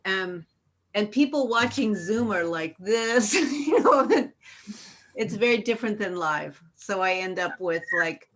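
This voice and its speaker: A woman's voice: medium pitch, animated, a little slow, fairly bright tone. A woman tantra instructor with a big, room-trained voice, chatting and asking questions on a video call.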